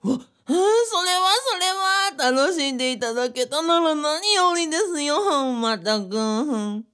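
A woman playing a genial, flattering cult founder in a radio drama, speaking politely with theatrical flourishes. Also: sits high, unhurried, full character voice. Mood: pleased, modest. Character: genial, flattering cult founder who performs comic stories.

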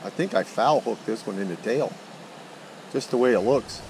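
Middle-aged male outdoorsman, low voice, folksy and excitable, action-cam audio by a loud creek. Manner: excited exclamations, folksy talk. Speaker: fishing vlogger. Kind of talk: casual running commentary while fishing. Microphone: head-mounted action camera. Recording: outdoor action camera with loud water noise. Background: rushing water noise.

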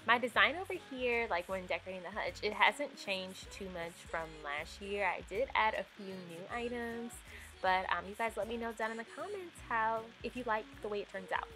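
Young woman, casual chatty vlog narrator, mid-pitched, faint background music. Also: lively intonation, normal speed, bright, forceful tone, poor audio. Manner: chatty, upbeat, with fillers like um and like. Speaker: home decor vlogger.

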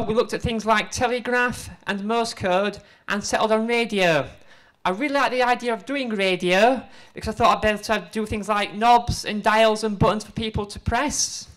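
A middle-aged woman giving an informal conference presentation into a handheld microphone, conversational, halting and self-deprecating. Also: on the high side. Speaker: conference speaker, museum exhibit developer. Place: indoor presentation room before a seated audience.

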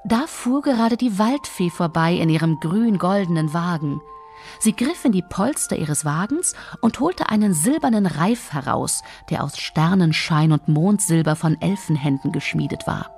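A woman tells a fairy tale with a fairly low, creaky voice. She reads expressively and lingers on descriptive words, with music underneath. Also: animated, normal speed, darkish tone. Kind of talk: scripted storytelling narration.

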